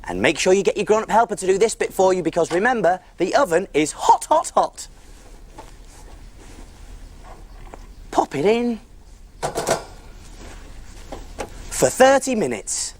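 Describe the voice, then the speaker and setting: A man's voice: high-pitched, very animated, a little fast. A man playing a friendly big cook who presents simple step-by-step cooking on a preschool show, in an encouraging way. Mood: playful, instructive. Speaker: children's cookery presenter.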